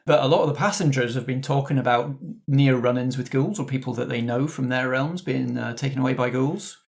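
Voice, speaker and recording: A man's voice: medium pitch, a little fast, gravelly, a little mellow, lightly acted. A man playing a plain-spoken ghost-train conductor in a fantasy game, with a colloquial, hesitant, repetitive way of talking. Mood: chatty, unsure. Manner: chatty, colloquial, hesitant, repeating himself.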